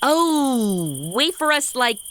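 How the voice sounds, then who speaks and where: Woman playing a high-pitched, sarcastic young woman. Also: takes it slowly, slight background noise, heavily acted. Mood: snarky. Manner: snarky, blunt, animated. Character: sassy, sarcastic modern young woman, exasperated. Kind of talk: comedy audio drama.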